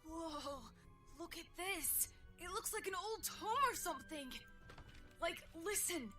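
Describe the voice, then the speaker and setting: A woman's voice: extremely high pitch, lively intonation, slow pace, pushed and bright, soft background music, heavily acted. A woman voicing a scrappy, assertive young adventurer for a character demo, with plenty of go-get-em spirit. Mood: curious, bold. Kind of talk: acted character demo reel.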